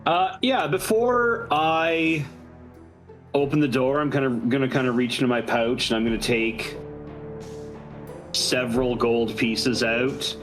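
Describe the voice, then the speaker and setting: Medium-pitched man playing a tabletop game. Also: measured pace. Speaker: player in a tabletop roleplaying game. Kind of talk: casual narration of character actions. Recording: music playing behind. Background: background music.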